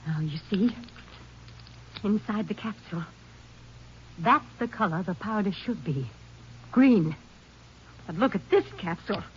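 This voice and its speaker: An actress playing a strained, stubborn American wife who feels hunted, in 1950s radio drama style. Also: female voice, mid-range voice, expressive, a little slow, pauses often, lightly acted. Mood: urgent, vindicated. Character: distraught, insistent wife convinced someone wants her dead. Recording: muffled old radio recording, faint noise.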